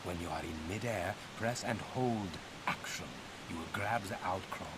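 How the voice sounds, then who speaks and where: An actor playing a stern, condescending older archaeologist mentor in a video game, speaking formally and instructively. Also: male voice, sits low, moderate pace, heavy background noise, natural voice. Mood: patronizing, instructive. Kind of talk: video game cutscene and tutorial acting. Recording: long cavernous reverb.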